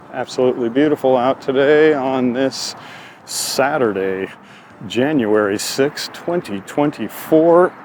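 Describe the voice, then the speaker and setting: A man's voice: medium pitch, a little mellow, slight background noise. A man hosting a casual walking vlog outdoors, talking in an informal, rambling, stop-and-start way. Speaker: host of his own vlog. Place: outdoors on a walk by a road.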